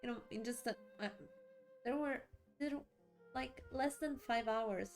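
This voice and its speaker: Giggly, higher-voiced woman streamer. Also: measured pace. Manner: giggly, rambling, repeats herself. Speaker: livestreamer. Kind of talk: casual gameplay commentary. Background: game music and dialogue.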